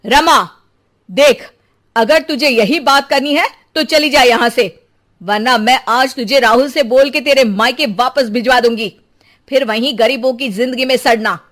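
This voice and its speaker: A woman's voice: high voice, measured pace, a bit bright. A woman voicing a haughty, domineering middle-aged mother-in-law in a melodramatic moral story. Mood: angry, threatening. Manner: domineering, sneering.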